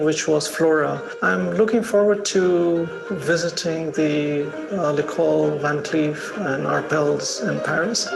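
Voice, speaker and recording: A man's voice: fairly high pitch, vocal fry, rough recording. Man reading a statement over music. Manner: reading a prepared text, occasional uh. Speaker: architect and prize winner. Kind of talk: prepared video statement.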